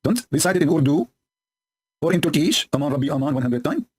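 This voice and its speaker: Husky sped-up man, mocking. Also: fairly high pitch, very animated, fast talker, darkish tone. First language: Arabic. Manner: sarcastic and mocking, broken English grammar. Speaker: religious polemic commentator. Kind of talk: commentary reading and mocking scripture. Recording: sped up to double speed.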